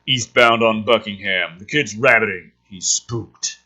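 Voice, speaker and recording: A man's voice: on the low side, measured pace. A man reading a film script dramatically as a video host, breaking off for casual, sweary, sardonic commentary. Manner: theatrical, animated delivery.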